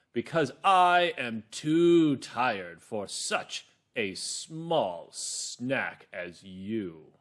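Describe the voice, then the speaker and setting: Guy doing a big rough storybook lion, proud and scornful, really slow and loud. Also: medium pitch, exaggerated voice. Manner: slow, emphatic, pompous. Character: mighty boastful male lion, proud contemptuous king of forest.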